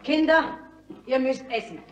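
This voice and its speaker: A woman's voice: high voice, expressive, measured pace, dull audio, background noise. An actress playing a caring, fussing motherly woman among barricade fighters in a radio drama. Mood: fussing, anxious. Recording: echoey, distant from microphone.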